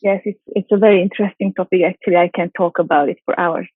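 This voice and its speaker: A woman's voice: lowish voice, steady tone, quick pace, husky, no echo. Hungarian-native woman over a tinny call line. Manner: chatty, many fillers and restarts, light laughs. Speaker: interview guest, model and actress. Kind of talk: casual interview answers. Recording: dry tinny phone-like call audio.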